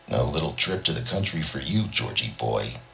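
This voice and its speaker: Male fugitive, read by a man, very deep and gravelly, cool-headed but desperate, science fiction audiobook. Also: expressive, moderate pace, fairly bright tone, heavily acted, poor audio. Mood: cool, determined.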